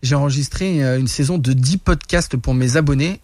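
Guy in a studio interview, lively storytelling, lots of restarts, dry close mic. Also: medium pitch, moderate pace, no echo. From France. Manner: animated, many restarts and laughs. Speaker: guest, reporter and influencer. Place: radio studio.

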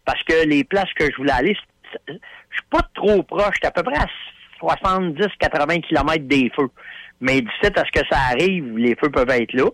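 A man's voice: fairly high pitch, brisk, no echo, rough recording. A man with a Quebec French accent chatting casually on a radio show, telling stories in folksy slang with lots of fillers. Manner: animated storyteller, folksy slang, many fillers.

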